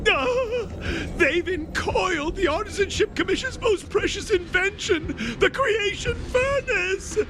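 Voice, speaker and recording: A woman voicing an excitable, theatrical, bossy childlike master of crafts in a video game. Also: extremely high pitch, a little slow, background noise. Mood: dramatic alarm. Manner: exclamatory, theatrical. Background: loud music.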